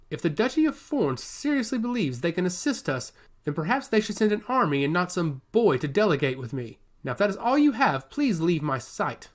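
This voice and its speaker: Storybook narrator as aged island monarch: irate, proud, formal, very dynamic intonation. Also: mid-range voice. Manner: indignant, formal, declamatory. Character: proud, furious old island king.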